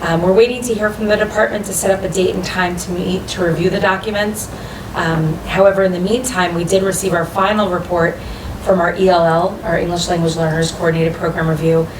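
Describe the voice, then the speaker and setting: A hoarse woman giving a special education report at a school committee meeting. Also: lowish voice, steady tone, fairly quick. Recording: echoey far-off room mic with noise.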